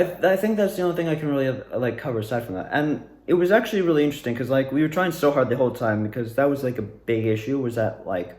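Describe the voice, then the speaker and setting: A man's voice: mid-range voice, darkish tone. Echoey young man, casual and rambling. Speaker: amateur student actor reviewing own performances. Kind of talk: casual rambling recap, self-interview. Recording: roomy, echoey indoor sound.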